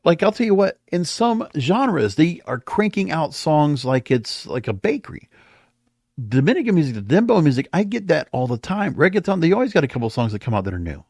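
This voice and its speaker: Male podcast host, solo commentary. Higher-pitched, very animated delivery, emphatic and conversational, recorded dry. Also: a little fast, no echo.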